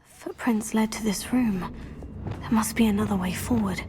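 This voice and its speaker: A woman's voice: medium pitch, moderate pace, natural voice. A young woman playing an earnest, caring teenage witch heroine in a video game. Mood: focused, concerned. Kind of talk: scripted video game voice acting.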